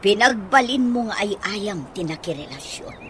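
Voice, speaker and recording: Female actor as reproachful middle-aged mom, Ilocano accent, very animated radio serial delivery, faint noise. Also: medium pitch, normal speed, lightly acted. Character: disapproving middle-aged mother scolding her daughter. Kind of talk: Ilocano radio drama acting.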